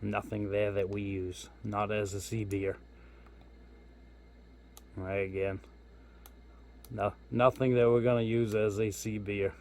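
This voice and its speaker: A man's voice: on the low side, little pitch movement, slow, pauses often, darkish tone, a little hiss or hum. A man giving a casual hands-on product walkthrough as a hobbyist reviewer, informal and thinking aloud as he goes. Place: indoors at home.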